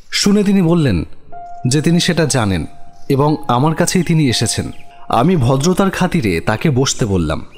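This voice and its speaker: A man giving scripted narration of a horror story. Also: mid-range voice. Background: music.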